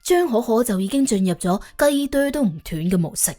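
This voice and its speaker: A woman narrating an audiobook in colloquial Cantonese, telling the story in an expressive, wry way. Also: fairly high pitch, a little fast. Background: faint background music.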